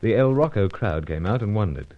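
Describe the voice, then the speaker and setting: An actor playing a suave, unflappable British secret agent in a radio adventure serial, speaking in terse, understated, clipped phrases. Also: male voice, fairly low pitch, animated, fairly quick, muffled recording, close to an everyday voice. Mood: wry, suspenseful. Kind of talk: old-time radio adventure serial narration and dialogue.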